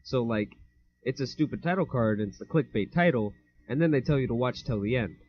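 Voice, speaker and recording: A man's voice: mid-range voice, expressive, a little fast. Young man, podcast co-host, rambling casual chat, filler words, muffled lo-fi mic. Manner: rambling, laughs easily, many fillers. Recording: dull lo-fi home mic.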